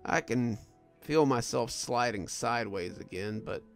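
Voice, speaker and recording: A man voicing a wounded, delirious prison guard sergeant in a horror story, speaking in a weary, halting, frightened way. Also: mid-range voice, creaky, soft background music. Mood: exhausted, groggy. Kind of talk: first-person horror story narration.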